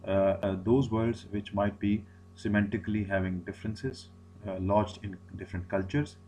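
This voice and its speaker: A man's voice: on the low side, fairly even intonation, moderate pace, mellow, a little hiss or hum. A man, an Urdu speaker, giving a recorded lecture, often repeating himself and restarting phrases. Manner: repetitive, many restarts and fillers.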